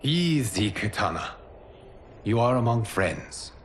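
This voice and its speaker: An actor playing a calm, wise male keeper of time in a video game cutscene, speaking in a measured, reassuring way. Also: medium pitch, a little hiss or hum.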